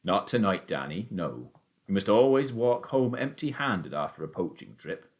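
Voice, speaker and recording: A man voicing a calm, proud father who speaks low and assuredly. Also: moderate pace.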